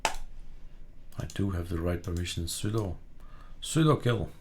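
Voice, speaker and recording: A man with a low voice talks slowly through a casual screen-capture tutorial. He thinks aloud in short, muttered fragments, with some background noise and the clatter of typing and clicks. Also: lively intonation. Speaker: tutorial host. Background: keyboard typing, mouse clicks.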